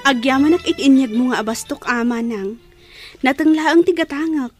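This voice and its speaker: A woman's voice: high voice, moderate pace, music in the background, natural voice. A woman with an Ilocano accent playing a grateful, eager younger student sibling in a radio drama.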